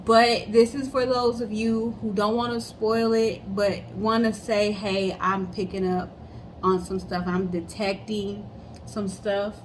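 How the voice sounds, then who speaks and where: A woman's voice: medium pitch, flat delivery, a little slow, a little hiss or hum, low-quality recording. A woman co-hosting a casual chat and storytelling show in an upbeat, friendly way. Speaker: co-host.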